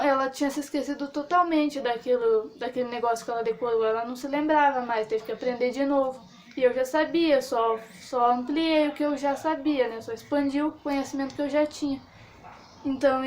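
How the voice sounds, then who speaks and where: A young woman giving her opinion in a casual vlog, rambling with many fillers and restarts. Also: high voice. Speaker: vlogger.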